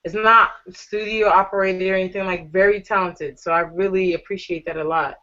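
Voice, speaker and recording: A woman's voice: medium pitch, steady tone, a little fast, pushed and bright. A woman hosting a casual online panel show, gushing and flirty as she thanks her guests. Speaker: talk show host. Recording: dull lo-fi audio.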